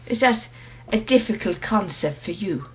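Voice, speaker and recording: An actress playing an earnest, quietly challenging woman in a radio play, speaking in a measured, persistent, questioning manner. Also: medium pitch, expressive, breathy edge, muffled recording. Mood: earnest, probing.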